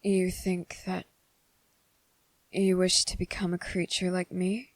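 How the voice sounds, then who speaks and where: A soft-spoken, possessive vampire lover, acted by a woman speaks slowly and intimately in an ASMR roleplay. His delivery stays flat and even, with barely any rise or fall. The recording is close and very dry, with no room sound. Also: lowish voice, no room sound. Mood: tender, grave. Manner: slow, soft-spoken and intimate, slipping into whispers. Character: young male vampire, devoted and possessive lover.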